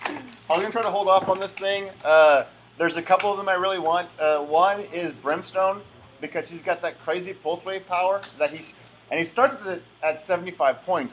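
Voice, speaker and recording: A young man talks through an unboxing in a loose, rambling way, full of "uh"s and restarts. His voice sits a touch high for a man. A faint hum of room ambience runs under his camera-mic recording. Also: a bit bright, poor audio. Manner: chatty, rambling, lots of fillers and restarts. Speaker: collectible game unboxer. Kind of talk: casual unboxing commentary.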